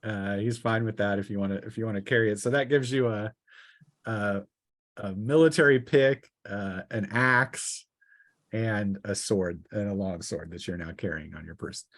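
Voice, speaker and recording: A man narrating a tabletop role-playing game as game master, in a halting, hesitant delivery with improvised phrasing. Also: on the low side, fairly bright tone, dry recording, studio quality.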